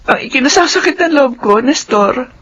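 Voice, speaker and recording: A woman's voice: sits high, lively intonation, normal speed, fairly bright tone, muffled recording. An actress in a radio drama playing a middle-aged, long-serving housemaid and mother, emotional, pleading and deferential. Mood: hurt, aggrieved.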